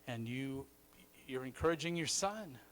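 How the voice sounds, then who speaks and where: A man's voice: medium pitch, expressive, unhurried, slight background noise, rough recording. A man leading a church recovery session, affirming people and drawing lessons from what they share. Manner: warm, affirming, reflective. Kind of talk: encouraging workshop talk. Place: church hall.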